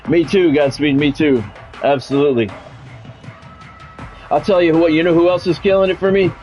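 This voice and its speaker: A man's voice: a little high, fairly even intonation, normal speed, a little mellow. Man livestreaming football play-by-play over music. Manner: talks to viewers, repeats himself, swears casually. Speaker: video game streamer doing play-by-play commentary. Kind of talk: casual livestream chat mixed with sports commentary.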